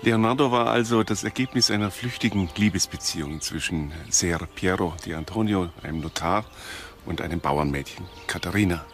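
A man speaking as an expert in a documentary interview, explaining deliberately phrase by phrase. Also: deep voice, faint music behind.

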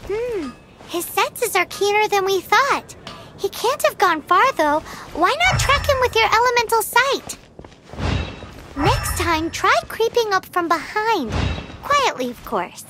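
A woman voicing a tiny, chirpy, bossy floating fairy girl companion in a video game, with a squeaky childlike voice. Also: very animated, a little slow, noisy background, full character voice. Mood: helpful, chirpy. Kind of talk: video game character dialogue. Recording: game music behind the voice.

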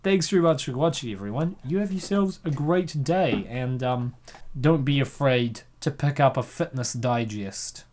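A man's voice: mid-range voice, moderate pace, darkish tone. A man hosting a comic reading, giggling often and rambling with lots of fillers. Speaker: host reading a comic dub. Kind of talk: casual chat.

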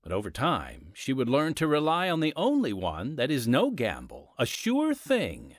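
A man narrating a radio drama as its announcer, with a dramatic, measured delivery. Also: mid-range voice, huge pitch swings, no room sound, studio quality. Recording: music under the voice. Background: music.

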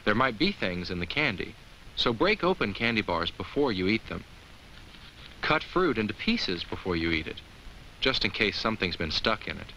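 An actor playing a kindly, earnest talking jack-o'-lantern who narrates a children's safety film with simple, reassuring explanations. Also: male voice, lowish voice, sing-song, moderate pace, pushed and bright, a little hiss or hum, heavily acted, poor audio. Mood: cautioning.

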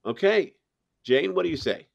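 A man's voice: fairly high pitch, lively intonation, normal speed, vocal fry, lo-fi sound. A man hosting a casual panel podcast in a chatty, jokey way with a touch of mock formality. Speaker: podcast host.

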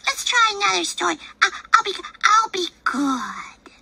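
A woman voicing an excitable, apologetic little girl chicken in a picture-book read-aloud, with a high childlike voice. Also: unhurried, vocal fry, slight background noise, full character voice. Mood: pleading. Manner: high childlike voice, pleading and bursting in.

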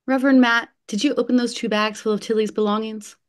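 A woman reading an earnest young female nursing home worker in an online play reading, halting and hesitant, over a video call. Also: on the high side, steady tone, lightly acted. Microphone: video call computer mic.

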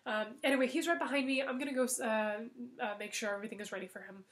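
A woman's voice: fairly high pitch, close to an everyday voice. A woman voicing a flustered, hungry man, master of the house, who rambles in a hurry. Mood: hurried. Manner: hurried and rambling, then pleading shouts.

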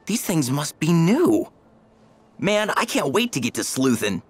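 A man playing an eager, chatty, curious teenage boy sleuth in an animated video game. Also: a little high, measured pace, breathy edge, heavily acted.

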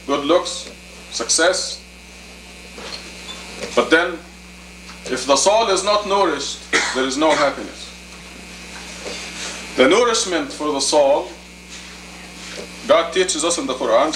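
A man in his fifties giving a sermon as an imam, deliberate and emphatic, in short phrases and rhetorical questions. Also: on the high side, fairly bright tone. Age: 50s. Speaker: imam, preacher. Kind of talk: sermon, teaching plainly to a congregation. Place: indoor meeting room. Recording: roomy, distant camcorder audio with faint noise.

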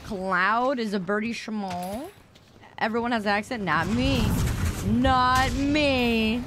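A woman's voice: a little high, slow pace. A young adult woman with a Midwestern American accent, chatting playfully while streaming a game, often repeating phrases in a sing-song way. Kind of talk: casual gameplay streaming chat. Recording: loud game noise underneath.

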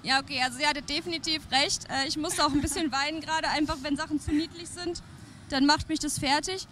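Bubbly high-voiced woman hosting a fair tour. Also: background noise. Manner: bubbly, excitable, quick asides. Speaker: stream host touring a games fair. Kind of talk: casual walk-and-talk chat. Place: busy indoor trade-fair hall.

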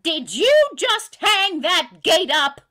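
Woman doing a scolding neighbour lady, super high swoopy voice, indignant, old radio comedy. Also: very animated, slow, pushed and bright, heavily acted. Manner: shrill, scolding.

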